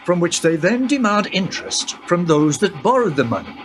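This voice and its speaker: A man's voice: high-pitched, sing-song, moderate pace. A man playing a patient, lecturing guide. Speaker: guide character. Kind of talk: radio play acting. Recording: background noise.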